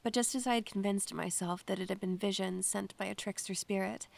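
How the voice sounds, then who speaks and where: Woman acting a creaky-voiced, haunted young woman. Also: medium pitch, steady tone, a little fast. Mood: haunted, wistful. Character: young haunted student woman who talks to spirits, Maggie. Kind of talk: audio drama with poetic first-person narration.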